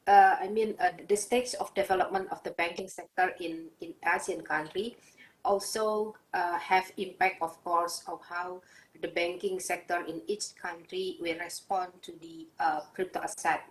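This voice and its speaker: A woman's voice: on the low side, fairly even intonation, moderate pace, echoey room, low-quality recording. A woman, answering questions as a webinar panelist, hesitant with many fillers and restarts.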